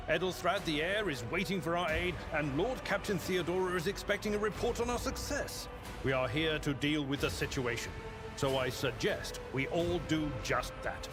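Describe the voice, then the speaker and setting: A man playing an earnest, formal ship's officer in a video game, speaking with urgent diplomacy. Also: sits high. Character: earnest, formal male officer of a voidship's retinue. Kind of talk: dramatic video game acting. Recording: voice under loud music.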